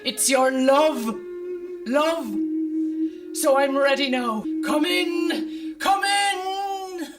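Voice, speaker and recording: A woman's voice: sits high, drawn-out words, pauses often, recorded from a distance, loud music behind the voice. A woman playing a self-pitying, melodramatic older Irish mother in a live sci-fi radio comedy. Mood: martyred, pleading. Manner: melodramatic, long-suffering, fussing.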